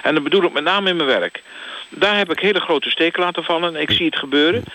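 Bright-voiced, hesitant male spokesman. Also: a little high, quick pace, low-quality recording. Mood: earnest, matter-of-fact. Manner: hesitant, earnest deadpan. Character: unmotivated male housing-association spokesman, blandly frank. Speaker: interviewed spokesman. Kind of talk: mock radio news interview by phone.

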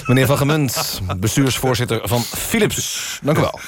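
A man's voice: mid-range voice, normal speed. A man presenting a mock-serious radio interview, politely probing and somewhat hesitant in his phrasing.

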